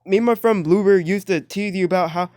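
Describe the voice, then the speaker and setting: A man's voice: high-pitched, fast, darkish tone. Male reaction host, casual and joking, slangy delivery with frequent exclamations.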